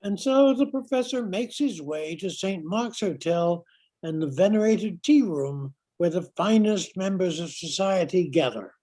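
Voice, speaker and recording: A woman with a husky voice reading scripted narration for a radio play. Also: lowish voice, expressive, measured pace, mellow.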